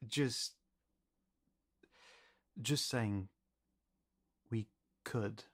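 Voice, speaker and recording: A man playing an easygoing, gently supportive fantasy companion in an audio roleplay, speaking softly and haltingly. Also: fairly low pitch. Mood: tentative, gentle. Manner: halting, soft-spoken, reassuring. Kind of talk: intimate audio roleplay acting.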